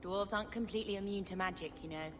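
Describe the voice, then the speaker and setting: A woman's voice: medium pitch, fast talker, noisy background, close to an everyday voice. An actress playing an earnest, thoughtful young woman in a fantasy game. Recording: game audio with music, reverb.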